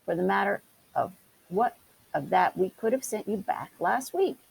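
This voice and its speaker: A woman voicing a brisk, fussy, genteel male town mouse in a storybook, polite but rather huffy. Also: mid-range voice, lively intonation, vocal fry, a little mellow, slightly rough, full character voice, rough recording. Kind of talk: storybook character voice. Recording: hissy background noise.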